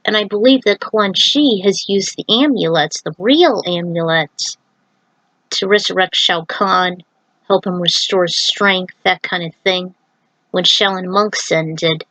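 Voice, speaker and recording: A woman's voice: lowish voice, measured pace, fairly bright tone, no room sound, low-quality recording. A woman narrating a story aloud in an informal way, breaking off for chatty asides and recaps. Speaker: narrator reading own fan fiction. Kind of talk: story reading with casual asides.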